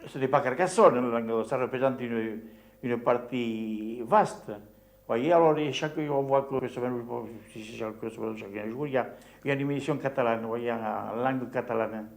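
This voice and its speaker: A man's voice: medium pitch, moderate pace. A man with a southern French accent, speaking insistently in an interview. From southern France. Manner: insistent, emphatic. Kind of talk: impassioned interview answer.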